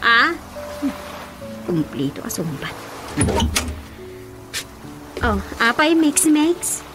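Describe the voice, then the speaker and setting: A woman's voice: on the high side, sing-song, extremely slow, heavily acted. A Filipino woman with an Ilocano accent playing a puzzled village housewife who questions and exclaims, in a radio drama comedy. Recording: music over the voice.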